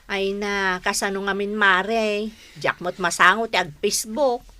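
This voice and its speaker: Bright-voiced, protesting neighbour woman, radio comedy. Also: medium pitch, steady tone, a little slow, no room sound. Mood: affronted. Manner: questioning, protesting. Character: busy neighbour mother, easily affronted. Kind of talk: Ilocano radio comedy drama acting.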